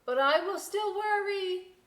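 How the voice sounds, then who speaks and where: A woman's voice: extremely high pitch, takes it slowly, a bit bright, voice pushed up, full character voice. A woman voicing a motherly, steadfast lighthouse in a children's storybook, dramatic and caring. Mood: fond worry. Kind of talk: children's storybook read-aloud.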